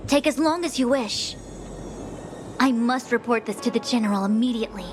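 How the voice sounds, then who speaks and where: A woman's voice: on the high side, normal speed, lightly acted. An actress playing a proud young female diviner official in a video game, haughty, clipped and formal. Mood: indignant, haughty. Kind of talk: video game character dialogue. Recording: studio voice over game music.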